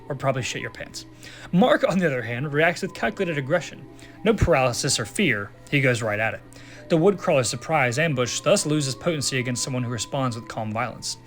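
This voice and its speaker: A man narrating a scripted fan breakdown, mixing analysis with storytelling. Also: mid-range voice. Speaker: narrator of a fan what-if video.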